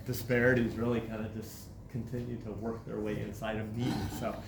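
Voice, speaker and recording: A man's voice: lowish voice, flat delivery, fairly quick, vocal fry, noisy background. Reflective male teacher in a roomy classroom. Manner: reflective, repeats words while thinking. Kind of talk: classroom discussion.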